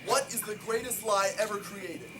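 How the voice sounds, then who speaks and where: A man playing a defiant, contemptuous émigré tycoon from a video game, delivering a grand monologue in an emphatic, deliberate oratorical manner. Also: high-pitched, heavy background noise, natural voice. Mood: grave, probing.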